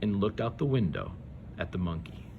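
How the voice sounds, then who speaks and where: A man reading a children's story aloud, with measured delivery and dramatic pauses. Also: on the low side, expressive, phone-quality sound, noisy background. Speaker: storybook reader. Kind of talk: expressive children's read-aloud narration.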